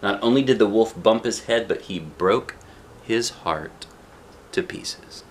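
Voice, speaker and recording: A man reading a storybook aloud to a child, with dramatic drawn-out phrasing and casual asides. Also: lowish voice, slight background noise. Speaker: dad reading a picture book to a child.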